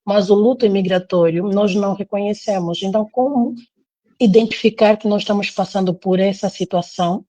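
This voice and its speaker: A woman's voice: medium pitch, fairly even intonation, fairly quick, dark tone, no room sound. An Angolan woman with a Brazilian-tinged Angolan Portuguese accent, hosting a live talk and speaking emphatically, repeating phrases for stress. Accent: Angolan Portuguese with Brazilian influence. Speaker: live show host. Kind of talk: casual conversational interview.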